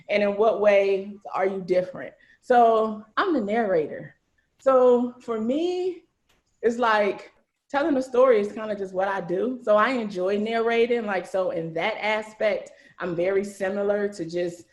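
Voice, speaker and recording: A woman hosting a casual group chat on a video call, talking in an animated, slangy, conversational way. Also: mid-range voice. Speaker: host, narrator and writer of an audio series. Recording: roomy echo on a video call.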